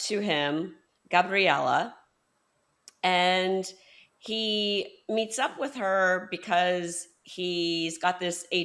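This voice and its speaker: A woman hosting a book podcast, recounting a novel's plot in a measured way with fillers. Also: fairly low pitch, a bit bright. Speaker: podcast host. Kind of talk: interview, summarizing the plot.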